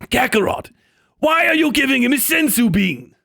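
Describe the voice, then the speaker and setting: A man voicing a hot-headed cartoon warrior, loud, over-the-top and exasperated. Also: very high-pitched, big pitch swings, moderate pace, vocal fry, pitched above the performer's own voice, full character voice.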